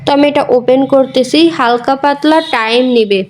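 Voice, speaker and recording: Woman doing a casual phone tutorial, high voice, pretty flat delivery, drops in english words. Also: normal speed. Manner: instructional, mixes in English words. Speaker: tutorial creator. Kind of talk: casual how-to voice-over.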